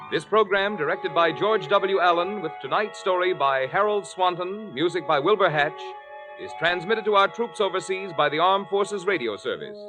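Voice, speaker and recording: A man with an American accent announcing commercials and credits in 1940s radio style. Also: fairly high pitch. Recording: 1940s radio broadcast with faint music.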